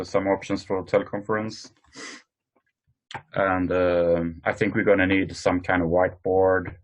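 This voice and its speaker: A man presenting informally in an online work meeting, speaking hesitantly with frequent pauses. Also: deep voice. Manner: hesitant, halting delivery with many pauses. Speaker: meeting host presenting tools. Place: online conference call.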